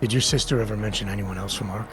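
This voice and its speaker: An actor playing a stern, composed agent in a video game, questioning a suspect in a controlled, probing way. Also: male voice, fairly low pitch, steady tone, racing, music in the background, lightly acted. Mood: firm, reasoning. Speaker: investigator. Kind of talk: video game dialogue acting.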